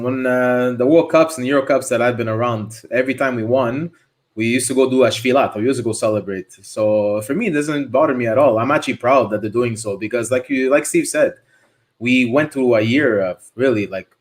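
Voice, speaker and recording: Excited guy on a podcast going on about football, casual. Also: medium pitch. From Canada. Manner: animated, enthusiastic football talk. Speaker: podcast co-host. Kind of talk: casual chat.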